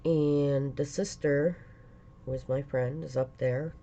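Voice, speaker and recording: Casting: stuffy fifties woman, gravelly and slow, rambling vlog chat, lo-fi hiss. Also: low-pitched, steady tone, mellow. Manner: halting, rambling, many pauses and fillers. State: congested from a lingering cold. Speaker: vlogger. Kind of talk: casual personal vlog talk.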